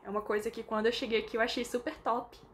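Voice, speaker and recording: A woman's voice: fairly high pitch, little pitch movement, fast. A young Brazilian woman with a Brazilian Portuguese accent, chatting casually to camera in an informal vlog with lots of fillers. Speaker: vlogger.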